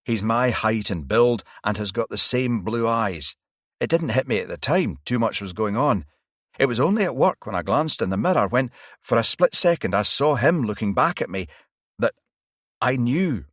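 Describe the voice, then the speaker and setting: A man's voice: mid-range voice, lively intonation, normal speed, a bit bright, no room sound, studio quality, pitched above the performer's own voice. A man voicing a feverish off-duty male detective who talks urgently and earnestly.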